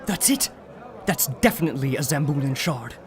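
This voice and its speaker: A man's voice: on the high side, sing-song, moderate pace. An actor playing a fussy, anxious male scholar sidekick in a radio drama.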